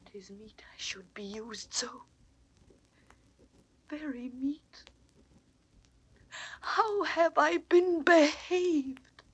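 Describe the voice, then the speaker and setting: A woman playing a gentle, wronged and devoted young wife in a classical Shakespearean audio drama, slow, plaintive and often near a whisper. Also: high-pitched, no room sound. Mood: wounded. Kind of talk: classical Shakespearean stage acting on record. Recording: old dry studio drama record.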